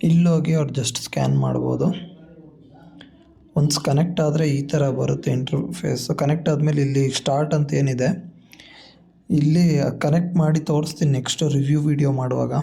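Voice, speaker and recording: A man's voice: fairly high pitch, gravelly, mellow, breathy edge. A man with a Kannada accent giving a casual step-by-step product walkthrough as a vlogger, explaining and mixing in English tech words. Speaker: vlogger demonstrating a gadget.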